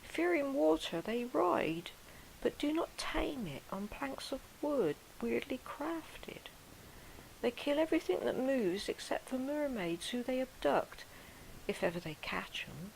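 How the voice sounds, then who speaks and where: A woman reading a poem as a wondering, wary mermaid, in a breathy, measured storytelling manner. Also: high-pitched, very animated, exaggerated voice, poor audio. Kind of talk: dramatic poetry reading. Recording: faint background hiss.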